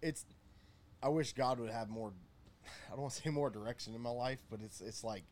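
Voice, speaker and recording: Laid-back man in his thirties, halting. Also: mid-range voice, a little mellow. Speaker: guest. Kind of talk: casual chat.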